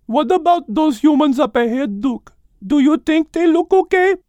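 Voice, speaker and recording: A man's voice: very high-pitched, lively intonation, moderate pace, mellow, no echo, voice pushed up. A man voicing a wary, chatty female water buffalo in an audiobook, with an anxious, questioning manner. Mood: worried. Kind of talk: audiobook character voice.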